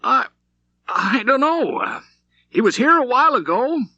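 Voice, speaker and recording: A man's voice: very high voice, big pitch swings, measured pace, bright, forceful tone, dry recording, muffled recording, pitched above the performer's own voice, exaggerated voice. A man voicing a timid, hesitant saloon barkeeper who stammers nervously in a western audiobook. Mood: frightened. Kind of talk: audiobook character reading.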